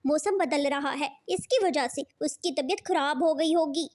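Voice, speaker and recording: A very high-pitched cartoon mother bird, played by a woman. She sounds motherly and fretful, quick to admonish and full of care. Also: a little mellow, lightly acted. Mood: concerned. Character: caring, scolding female bird, mother and neighbour.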